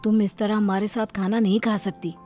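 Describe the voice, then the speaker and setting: A woman's voice: medium pitch, flat delivery, fairly quick, dark tone, faint music behind, natural voice. A woman playing an anxious young village husband who pleads and placates in an audio moral story. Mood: anxious pleading.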